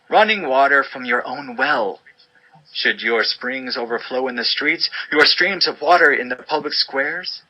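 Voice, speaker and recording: Forceful, husky man reading scripture. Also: medium pitch, poor audio. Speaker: narrator.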